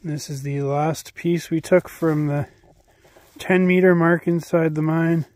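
A man with a slightly high voice gives a calm, matter-of-fact field commentary outdoors, listing minerals in each rock he shows. The sound is dry and close, as if from a handheld camera. He talks in a plain, casual tone. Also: unhurried, dry recording. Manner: matter-of-fact, names minerals while showing rock samples. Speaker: mineral exploration vlogger. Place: outdoors at a snowy abandoned mine site.

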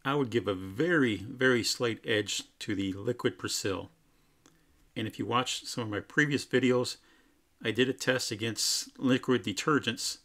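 Low gravelly man reviewing products. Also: a bit bright. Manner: plain, matter-of-fact explaining. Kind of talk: home product test voice-over.

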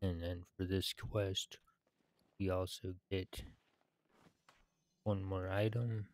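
A man in his thirties reading game quest text aloud in measured phrases, with short pauses and some casual narration. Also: sits low, flat delivery, a little mellow. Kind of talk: scripted read-aloud with casual gameplay narration.

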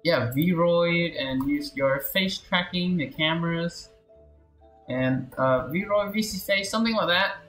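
A young man chats casually as he streams a game, laid-back and unhurried. His voice sounds roomy and echoey, with game music playing behind him. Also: fairly high pitch, fairly bright tone. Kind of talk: casual livestream gameplay chat.

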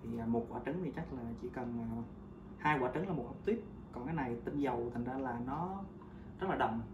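A man's voice: mid-range voice, animated, a little fast, distant mic. A man in his thirties from Vietnam, casually walking viewers through a homemade recipe in his kitchen, chatty with frequent hesitations. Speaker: home cooking vlogger. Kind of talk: casual how-to demonstration. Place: home kitchen, indoors. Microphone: camera mic on counter. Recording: roomy kitchen, voice off-mic, loud background noise.

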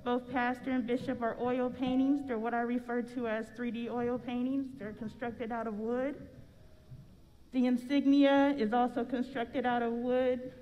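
A woman's voice: on the high side, level tone, measured pace, muffled recording. A middle-aged American woman speaking casually and anecdotally to a congregation, as an artist telling her story. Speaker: artist and church member. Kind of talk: informal testimony to a congregation. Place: large church sanctuary. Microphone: stand microphone. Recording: long hall reverb, faint music.

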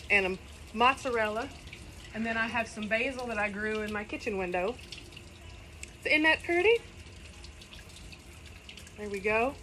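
A woman's voice: mid-range voice, a bit bright, a little hiss or hum. Chatty woman in a backyard pool. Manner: chatty, rambling, folksy asides. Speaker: cooking vlogger. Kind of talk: casual cooking demo. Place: outdoors, sitting in a kiddie pool in a garden. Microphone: phone camera on a table.

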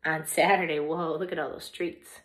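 A young woman who teaches small children, reading a picture book aloud in an expressive, deliberate way meant for little listeners. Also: low-pitched. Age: young adult.

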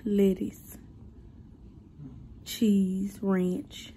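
A woman's voice: medium pitch, completely flat delivery, drawn-out words, mellow. A young woman vlogging casually from a phone held at arm's length, blunt and teasing in her talk. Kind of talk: casual vlog chat. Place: grocery store, then home. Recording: noisy phone recording.

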